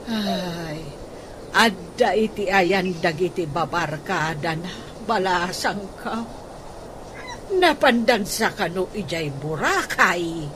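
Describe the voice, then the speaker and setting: A woman playing an excitable older household maid in a comedic radio drama, with a melodramatic, exclaiming way of talking. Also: on the low side, slow. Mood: gossipy, disapproving. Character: excitable older household maid fretting over unpaid wages. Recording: noisy radio drama recording.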